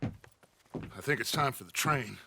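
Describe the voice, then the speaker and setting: Western game gang leader: middle-aged, ringing sharp voice, animated, commanding, paternal. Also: male voice, mid-range voice, slow, creaky, a little hiss or hum, heavily acted. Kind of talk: video game cutscene acting.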